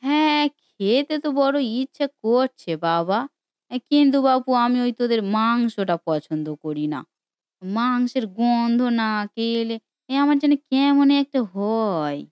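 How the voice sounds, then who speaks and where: A woman's voice: on the high side, animated, a little slow, a little mellow, smooth, no echo, clean studio sound. A woman voicing a fussy, insistent old granny with folksy speech in a cartoon story. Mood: curious, fussy. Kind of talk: Bengali cartoon story voice acting.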